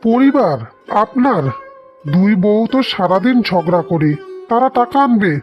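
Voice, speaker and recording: A pushy male loan collector from a cartoon, acted out by a woman, mocking and full of threats. The delivery is sneering, at an ordinary female pitch. The sound is dull, with music underneath. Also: animated, a little slow, natural voice. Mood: menacing, mocking. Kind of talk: cartoon dialogue.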